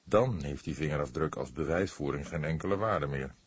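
A man voicing an old, weary police detective, husky, thoughtful and deliberate in his speech. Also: very deep voice, dry recording, voice pushed down. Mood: measured. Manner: hesitant, deliberate, measured.

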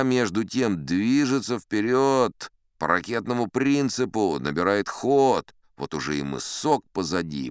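Boastful old sea captain telling tall tales, smooth low voice, theatrical audiobook style. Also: male voice, no room sound, clean studio sound. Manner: self-satisfied, conversational raconteur. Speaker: audiobook narrator in character. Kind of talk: theatrical first-person storybook narration.

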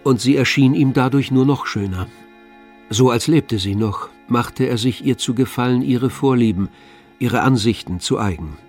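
A man's voice: mid-range voice, moderate pace, a little mellow. A man narrates a literary radio drama in a middle-register voice. His delivery is scripted storytelling, with faint violin music underneath. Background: faint string music.

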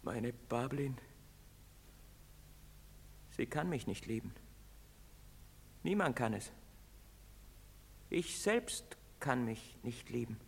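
A man's voice: medium pitch, lively intonation, takes it slowly, gravelly, pauses often. An actor playing a withdrawn, despairing young outcast man in a stage drama, terse and downcast. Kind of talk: theatrical stage drama acting. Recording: reverberant stage recording with noise.

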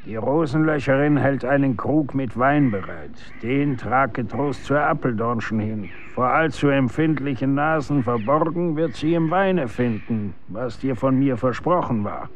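An actor playing a gruff, middle-aged executioner and stern father in a radio drama, brusque and speaking in archaic wording. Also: mid-range voice, measured pace, hardly any pauses, lo-fi sound, a little hiss or hum, close to an everyday voice.

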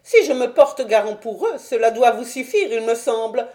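A woman voicing an anxious, fussy gentleman who speaks in a nervous, indignant way in an audiobook story. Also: a little high, expressive, moderate pace, pitched above the performer's own voice, lightly acted. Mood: indignant, perplexed.

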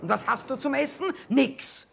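A woman's voice: sits high, vocal fry. An actress playing a shrewd, earthy trader and mother of grown children in a theatrical radio play. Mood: sly, triumphant. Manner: earthy, sardonic, quick-witted haggling. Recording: noisy radio play recording with ambience.

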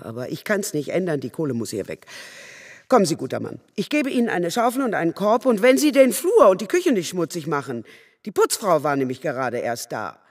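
An actress playing an older, suspicious and bossy housewife in a radio drama, grumbling and brusque. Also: female voice, lowish voice. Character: gruff, suspicious grey-haired city housewife, stingy and bossy.

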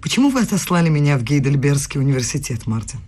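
A husky, challenging young scholar. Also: male voice, fairly high pitch, very animated, fast, a little mellow. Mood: hurt, reproachful. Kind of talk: radio play acting.

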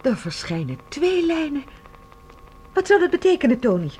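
An actress playing an anxious, earnest woman on the run, speaking excitably in a Dutch radio drama. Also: high voice, normal speed. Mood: astonished. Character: anxious, earnest woman fugitive, helpful accomplice. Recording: noisy radio drama recording.

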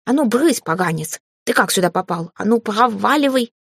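A stocky, scrappy house spirit from a fantasy storybook, put on by a woman. He talks in folksy rustic phrases with a burred r, gruff yet easily flustered, recorded close and dry. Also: medium pitch, lively intonation, normal speed, a bit bright, no room sound, voice pushed up, heavily acted. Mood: indignant scolding.

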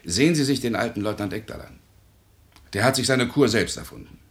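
An actor playing a cynical, world-weary doctor in a radio play, speaking in a deliberate, sardonic, lecturing way. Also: male voice, mid-range voice, close to an everyday voice. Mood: scornful. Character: cynical, world-weary male doctor, blunt yet concerned. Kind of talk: German radio play acting.

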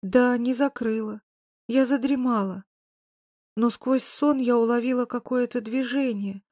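A woman's voice: a little high, measured pace, darkish tone, no echo. Woman playing a feeble old lady in an audiobook, confused, fearful, plaintive, muttering, dry sound. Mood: dreamy, plaintive.

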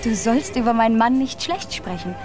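An actress in a naturalistic radio drama playing a casual, mildly guarded married housewife. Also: female voice, a little high, expressive, moderate pace, music in the background, natural voice.

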